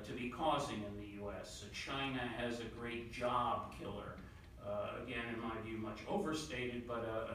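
A man from the New York City area giving panel remarks, talking quickly with lots of fillers and repeated words. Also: medium pitch, bright, forceful tone, a little hiss or hum. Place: large conference room. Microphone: table mic, recorded from the far side of the room. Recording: echoey room audio from far off.

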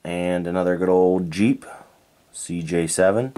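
A man from Canada reviewing toy cars in a relaxed, unhurried way, thinking aloud and correcting himself as he goes. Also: deep voice. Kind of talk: unscripted hands-on toy review. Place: indoors at a tabletop diorama.

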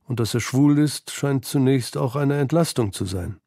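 A man's voice: mid-range voice, normal speed, gravelly, mellow, no echo, studio quality. A man narrating a scripted radio feature in an articulate, composed way. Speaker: documentary narrator. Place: studio.

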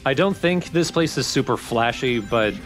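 A man's voice: mid-range voice, animated, normal speed, fairly bright tone. A man giving casual commentary while playing a video game. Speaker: let's play commentator and player. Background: game music.

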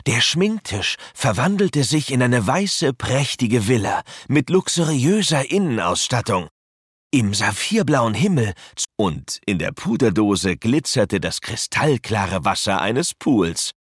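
A man's voice: mid-range voice, big pitch swings, slow, husky, dry recording, studio quality. A man narrating a scripted children's audio drama as its storyteller.

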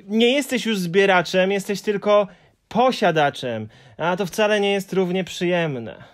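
A man's voice: high-pitched, expressive, unhurried, pitched above the performer's own voice. A man voicing a kind, thoughtful young male troll with an earnest, helpful manner in an audiobook. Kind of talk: audiobook character voice.

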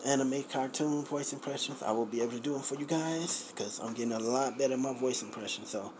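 A man's voice: mid-range voice, slight background noise. A young man chatting casually to viewers as a vlogger, recorded on a phone at arm's length in a kitchen. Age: young adult. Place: small home kitchen.

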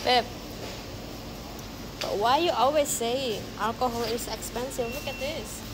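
A woman's voice: a little high, a little slow. A young woman whose first language is Indonesian, chatting casually while shopping and in a car, mixing Indonesian words into her English. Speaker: vlogger. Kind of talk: casual travel vlog chat. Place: supermarket, car park and car. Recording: loud background noise.

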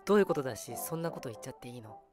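A man's voice: mid-range voice, expressive, very fast, music in the background, lightly acted. A man playing a sulky, blunt teenage girl who argues with her uncle. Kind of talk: radio drama acting.

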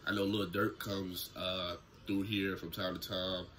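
A young man talks straight into a close camera in a small room at home, telling a casual story-time tale. His voice sits low, but it has a bright, forceful edge. He keeps it loose and slangy. A faint ambient hum sits behind him. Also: little pitch movement, measured pace. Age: young adult. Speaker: YouTuber. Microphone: camera close in front, seated.

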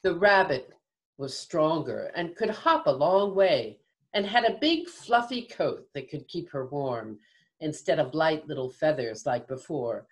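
Slow, very lively older woman storyteller. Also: low voice. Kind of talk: scripted storytelling for children. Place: home room, indoors. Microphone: video call computer mic.

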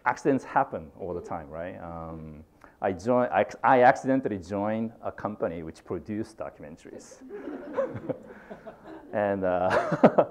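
Deep-voiced Japanese man lecturing haltingly. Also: wildly swooping pitch, a little hiss or hum. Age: middle-aged. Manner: halting, many fillers and restarts. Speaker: guest lecturer, filmmaker. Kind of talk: university lecture. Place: lecture room, indoors.

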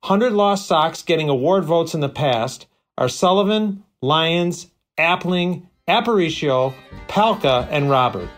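A man's voice: a little high, expressive, measured pace, soft background music. A man reading a prepared stats segment, dry and list-like with wry asides. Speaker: stats contributor.

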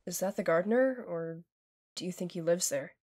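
A woman's voice: on the low side, fairly quick, darkish tone, close to an everyday voice. A woman playing an easygoing, modest, dry-humored teenage younger sister in an audio drama. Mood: wary, amused. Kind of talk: fan audio drama acting.

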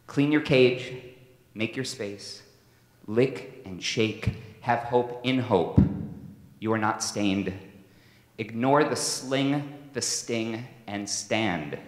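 Casting: man performing aging rodent dad, male, tender and proud. Solemn theatrical verse, deliberately delivered, big echoey stage sound. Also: on the low side. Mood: soothing, solemn. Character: tender, proud, aging father rodent addressing his pup. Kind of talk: dramatic poetry reading. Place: stage in a large hall with audience. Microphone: microphone on a stand. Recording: echoey hall, stage microphone.

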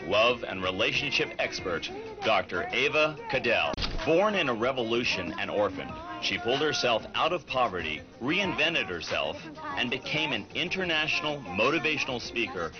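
A man reading a scripted promotional voice-over in a smooth announcer style. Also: medium pitch. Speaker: promo narrator. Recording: dull lo-fi sound with music behind.

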